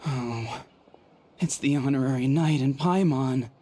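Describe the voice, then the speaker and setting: An actor playing a weary, worried young knight in a video game, hesitant and earnest. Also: male voice, mid-range voice, measured pace, heavy background noise, natural voice. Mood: surprised. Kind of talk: video game character acting.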